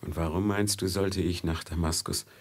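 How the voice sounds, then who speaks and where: Ein Schauspieler spielt in einem Hörspiel der 1950er einen versklavten, höflichen und leidenschaftlichen Edelmann mit belegter Stimme. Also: male voice, low voice, fairly even intonation, normal speed. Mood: excited, scheming. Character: noble merchant enslaved, deferential yet passionate schemer. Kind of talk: 1950s German radio play acting. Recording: 1950s mono radio drama with music.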